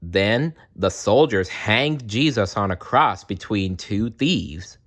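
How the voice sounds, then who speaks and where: A male storyteller reads scripted children's narration aloud. His voice sits a bit low and moves widely in pitch. He takes his time and delivers each line with dramatic expression. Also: animated, takes it slowly, fairly bright tone.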